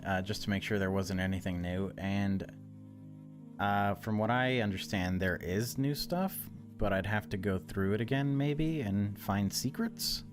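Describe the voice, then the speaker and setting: A man's voice: fairly low pitch, unhurried. A man hosting a gaming video, speaking over a horror visual novel he is playing. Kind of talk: dramatic narration of game text, then casual commentary. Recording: music behind the voice. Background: game music.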